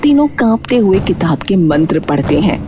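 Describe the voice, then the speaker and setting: Lively, lowish-voiced woman story narrator. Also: moderate pace, background noise. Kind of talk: dramatic scripted story narration.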